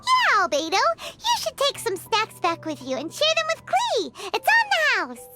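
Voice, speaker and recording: A woman voicing a small, cheerful, chatty childlike fairy companion in an animated video game style. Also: very high voice, moderate pace, exaggerated voice. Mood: chirpy, earnest. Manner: speaks of self in third person. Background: music.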